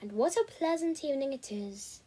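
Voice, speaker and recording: A woman's voice: high-pitched, expressive, moderate pace, mellow. A woman voicing a hot-tempered mother who talks in a falsely polite, mocking way. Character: sweetly menacing mother with a wild temper.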